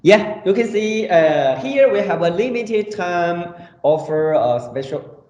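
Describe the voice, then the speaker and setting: A man's voice: high voice, very animated, takes it slowly, darkish tone. A man co-presenting products conversationally in hesitant non-native English, restarting his phrases. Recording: roomy echo, dull sound.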